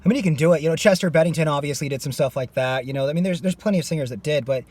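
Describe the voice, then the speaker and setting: A man's voice: fairly high pitch, very fast, darkish tone. A man teaching a singing lesson. He is losing his voice and stops his explanation to demonstrate screams. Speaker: vocal coach.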